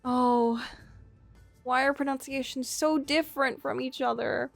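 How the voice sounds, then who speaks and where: A woman's voice: high-pitched, steady tone, unhurried, creaky, no echo, soft background music. A woman casually livestreaming a visual novel, chatting and thinking aloud as she goes. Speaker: streamer playing a visual novel. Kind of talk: casual livestream chat and reading game text aloud.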